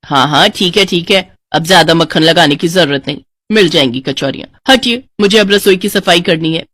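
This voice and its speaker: A woman voicing a house-proud, bossy middle-aged Indian mother in a cartoon story, dramatic and quick to scold. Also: fairly low pitch, a bit bright, no room sound. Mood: playful huffy.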